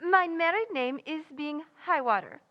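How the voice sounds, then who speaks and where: A high, swooping voice for a lady music teacher in a radio comedy. She is polite and crafty, mangles her grammar, and takes her time. The sound is slightly dull. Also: lively intonation. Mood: polite, eager. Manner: mangled grammar, progressive verbs, deliberate and polite. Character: foreign woman piano teacher and fortune teller, polite, crafty.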